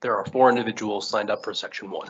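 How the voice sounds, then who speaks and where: A man at a board meeting briskly calling up the next public speakers, with a playful touch. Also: on the low side, fairly even intonation, fairly bright tone, husky. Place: school board meeting room. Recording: dull lo-fi meeting audio.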